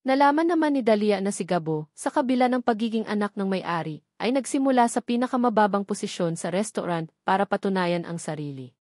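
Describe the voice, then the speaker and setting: A woman's voice: medium pitch, lively intonation, brisk, a little mellow, no echo, clean studio sound. A woman reading a scripted romantic drama story as its narrator.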